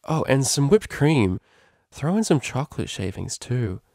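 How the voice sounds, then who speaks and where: A man's voice: medium pitch, sing-song, unhurried, creaky, darkish tone, no room sound, studio quality, voice pushed up, full character voice. A man voicing a drunk, affectionate female unicorn DJ pony in a storybook reading, rambling and hesitant in informal speech. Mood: carefree.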